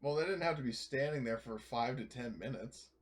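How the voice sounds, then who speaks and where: A man's voice: mid-range voice, a little fast. A man bantering casually as a player at a tabletop game, exclaiming and swearing freely. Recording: faint background noise, clicking. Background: faint noise and clicks.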